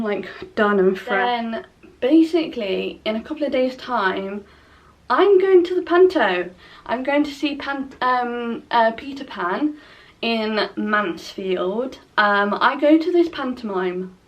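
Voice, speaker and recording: A young woman with a Nottingham accent chats in a loose, rambling way, full of little fillers. Her voice sits slightly high for a woman. She is recorded at home, a little back from the mic. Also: distant mic. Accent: Nottingham English. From England. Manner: chatty, rambling, lots of fillers. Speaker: vlogger. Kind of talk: casual vlog chat. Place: at home by a window.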